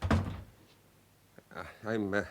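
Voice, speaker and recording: An actor playing a shaken, apologetic bank manager in a radio drama, hesitant and polite. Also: male voice, very slow, background noise. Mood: shaken, flustered. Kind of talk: British radio drama acting.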